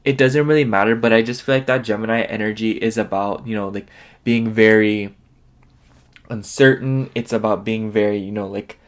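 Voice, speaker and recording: A man's voice: lowish voice, steady tone, normal speed. A man giving a casual tarot reading, rambling with lots of fillers, his voice sniffly and nasal. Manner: chatty, rambling, heavy on fillers. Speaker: tarot reader.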